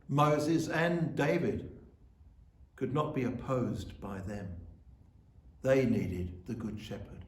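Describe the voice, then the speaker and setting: Slow-speaking man preaching in an echoey room. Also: medium pitch, a little mellow. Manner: measured, solemn delivery with deliberate phrasing. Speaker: church officiant giving a reflection. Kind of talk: scripted sermon, reflective. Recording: echoey room, distant microphone.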